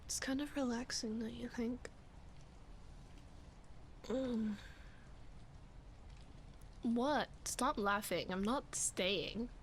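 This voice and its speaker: A woman acting as a sleepy, flustered young roommate in an intimate roleplay ASMR, murmuring hesitantly and often whispering, shy and playful. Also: a little high. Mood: drowsy, teasing. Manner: hesitant, halting, breathy murmuring with sighs. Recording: clear background ambience noise. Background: rain ambience.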